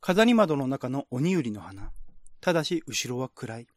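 A man's voice: medium pitch, sing-song, moderate pace, dry recording, clean studio sound. A man reading scripted narration in a radio-drama style, as the narrator of a screenplay.

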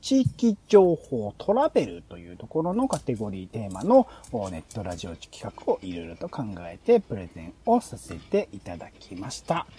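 A man's voice: on the low side, wildly swooping pitch, moderate pace, hardly any pauses, mellow, a little hiss or hum. A man co-hosting a podcast, presenting ideas in a casual conversational style. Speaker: podcast co-host.

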